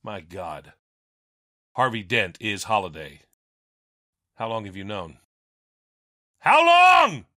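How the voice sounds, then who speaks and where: Urgent, expressive police captain. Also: male voice, fairly low pitch, normal speed, no room sound, clean studio sound. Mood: grave, pressing. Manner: urgent, earnest. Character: earnest, troubled male police captain. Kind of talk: animated crime drama acting.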